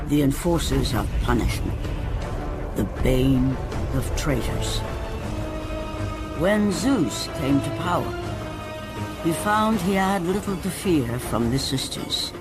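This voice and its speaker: Grave, slow-paced mythic storyteller. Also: male voice, on the high side. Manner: grave, dramatic, measured. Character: solemn storyteller narrating ancient Greek myth. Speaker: narrator. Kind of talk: solemn scripted epic myth narration. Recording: loud music over the voice. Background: loud music.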